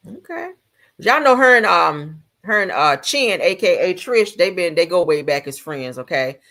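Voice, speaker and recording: A woman hosting a gossip livestream, giving casual reaction commentary in a sassy, colloquial way with drawn-out asides. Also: lowish voice, big pitch swings, bright, forceful tone.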